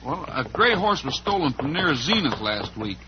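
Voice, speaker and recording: An actor playing a steady, fair-minded cowboy hero in an old-time western radio drama. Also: male voice, a little high, moderate pace, noisy background. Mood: thoughtful. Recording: muffled vintage radio broadcast.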